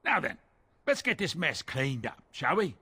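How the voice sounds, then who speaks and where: A man's voice: on the high side, big pitch swings, moderate pace, sharp tone, husky, dry recording, heavily acted. An actor playing a courteous, folksy dwarf merchant and doting father in a fantasy video game. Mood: amused, polite. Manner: folksy, polite, salesmanlike.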